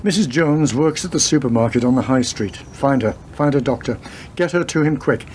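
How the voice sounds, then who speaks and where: A man playing a sober, authoritative police inspector in a radio play, speaking in a measured, firm way. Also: mid-range voice, darkish tone, slight background noise, close to an everyday voice. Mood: brisk, commanding.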